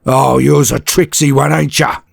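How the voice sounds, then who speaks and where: A hulking orc warlord with a gravelly, husky bark, as a male narrator puts him on, taunting his foe in coarse slang. He sounds bigger and rougher than an ordinary man. The delivery is dry and close, with faint music behind. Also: mid-range voice, flat delivery, moderate pace, dry recording, pitched above the performer's own voice. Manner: gruff slangy barking, coarse grammar.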